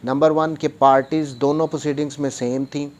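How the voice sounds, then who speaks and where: Higher-pitched man giving a methodical lecture. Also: flat delivery, moderate pace, darkish tone. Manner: code-switches between Hindi and English; methodical, repeats key points. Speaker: law lecturer. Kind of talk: explanatory law lecture, reading provisions then explaining them.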